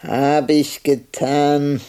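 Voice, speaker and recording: Old weary carer in a radio drama, bit slow, soft mellow voice, impatient and chiding. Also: male voice, fairly high pitch, flat delivery, clean tone. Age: older. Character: impatient elderly carer of a bedridden partner.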